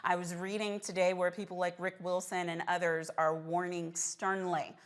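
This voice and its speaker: Lower-voiced woman hosting a panel. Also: level tone, normal speed. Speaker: host of a political panel show. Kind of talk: conversational moderating of a news panel.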